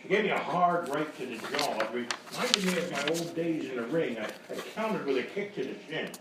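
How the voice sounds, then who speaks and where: A man playing a wisecracking, hard-boiled private detective with deadpan quips in a live radio comedy sketch. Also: fairly high pitch, brisk, lightly acted. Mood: deadpan, wry. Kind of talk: radio sketch comedy, noir parody before a live audience. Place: small live venue with audience. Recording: echoey live room, distant mic, noisy.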